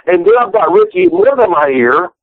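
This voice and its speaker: A middle-aged Southern man spins loose, salty yarns, cursing freely and doubling back on his words. His voice sits a touch high for a man. It comes through a narrow, tinny phone line with no room sound at all. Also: wildly swooping pitch, fairly quick, vocal fry, no room sound. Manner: rambling, profane, folksy, restarts a lot. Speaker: retired pro wrestler guest. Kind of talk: candid storytelling interview.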